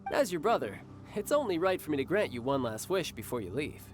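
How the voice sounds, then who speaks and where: An actor playing a confident, playful young warrior and doting older brother in a video game, with a theatrical, teasing manner. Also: male voice, on the high side, big pitch swings, brisk, a little hiss or hum. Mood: playful, indulgent. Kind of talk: video game character acting. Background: game music.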